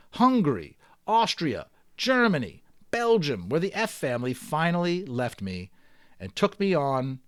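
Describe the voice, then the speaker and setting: Male amateur storyteller, mid-pitched, close dry sound; expressive children's book narration that slips into casual commentary. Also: no echo. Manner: expressive reading, dropping into chatty offhand commentary. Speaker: amateur audiobook reader. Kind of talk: dramatic read-aloud of a children's novel with casual asides.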